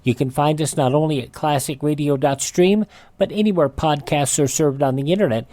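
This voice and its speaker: A man's voice: a little high, fairly quick, warm tone. A man hosting a radio show, giving a courteous, folksy scripted sign-off with casual asides. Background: music.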